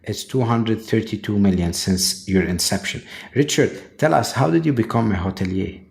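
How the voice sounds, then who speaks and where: A man's voice: on the low side, moderate pace, creaky, a little mellow. A man hosting a podcast interview, reading the guest's introduction and asking prompting questions. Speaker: podcast host. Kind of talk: interview host.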